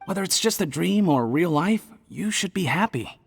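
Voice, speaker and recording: A man's voice: high-pitched, lively intonation, normal speed, gravelly, background noise. An actor playing a cheerful, easygoing male friend from a dream in a video game. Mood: placating. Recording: long dreamlike hall reverb.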